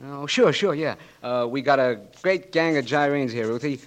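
A brash young Marine patient, blinded and hiding it behind cocky slang, his voice steady in the middle range, as a man acts him out. He talks tough in 1940s radio-drama style, breaking into halting hesitation when he turns soft. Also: medium pitch, lightly acted. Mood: breezy.

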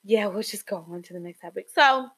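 A young woman co-hosting a casual gossip podcast, animated, with sudden high jumps in pitch and trailing ums. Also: a little fast, slightly rough. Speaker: podcast co-host.

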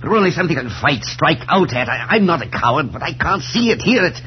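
An actor playing a proud, tormented professor with an intense, clipped, theatrical delivery in 1940s radio suspense style. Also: male voice, a little high, sing-song, fast talker, a little hiss or hum. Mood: anguished revulsion. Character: tormented, insistent male professor, haunted and proud. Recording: dull, humming 1940s radio recording.

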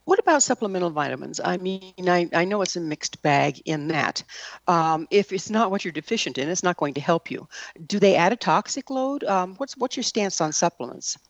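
Woman, American accent, interviewer, conversational delivery, medium-low pitch, dry close sound. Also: animated, normal speed, no room sound. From United States.

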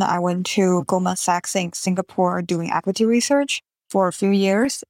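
A woman, answering interview questions in an earnest, explanatory way with slightly halting phrasing over a remote call. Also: on the low side, gravelly, darkish tone, no echo. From Taiwan. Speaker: podcast guest.

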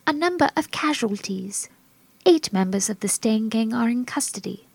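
A woman's voice: on the high side, vocal fry, husky, dry recording, heavily acted. An actress playing a female TV news reporter delivering a formal report. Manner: measured, formal.

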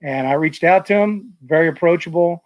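A man's voice: on the high side, normal speed, no echo, muffled recording. A man hosting an interview, talking casually with many fillers and restarts. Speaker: podcast host.

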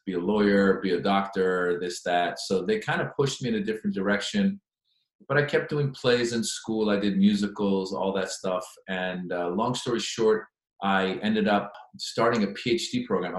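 A deep-voiced man in his forties, from Iran and speaking with an American accent, tells his story as a podcast guest. He rambles, restarts and fills gaps with "uh" and "um". His voice carries the echo of a roomy space. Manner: rambling, many fillers and restarts. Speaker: podcast guest, stand-up comedian. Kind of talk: casual interview chat.

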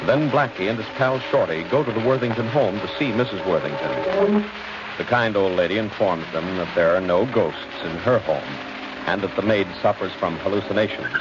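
A male announcer delivers scripted narration in old-time radio style. His voice is fairly low. The vintage recording is muffled and full of loud noise.

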